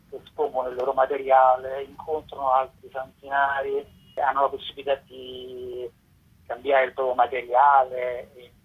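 Very raspy man on tinny phone line. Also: medium pitch. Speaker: festival organiser being interviewed. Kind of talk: explanatory interview answer. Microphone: phone call. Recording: tinny, distorted telephone line.

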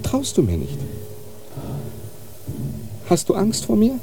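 An actor playing a young, troubled monk in a radio drama, impassioned and pleading, with faint noise behind. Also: male voice, high-pitched, wildly swooping pitch, takes it slowly, full character voice. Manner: impassioned, pleading, swings from quiet to outcry.

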